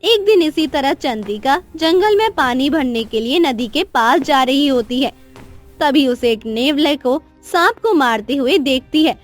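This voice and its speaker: A woman's voice: high voice, lively intonation, measured pace, soft background music. A woman narrating a scripted cartoon moral story as storyteller.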